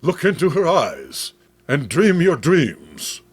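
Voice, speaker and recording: A man voicing a sinister seller of a magical statue, speaking in a deliberate, ominous way for a horror comic. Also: a little high, wildly swooping pitch, husky, full character voice. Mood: sly, ominous.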